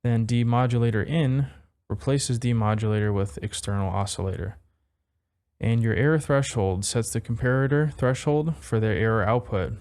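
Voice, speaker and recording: A man's voice: mid-range voice, moderate pace, darkish tone, clear, resonant tone. A man casually walking through audio gear as a reviewer, laid-back and self-deprecating in manner. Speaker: synthesizer module reviewer. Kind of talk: casual gear demo talk.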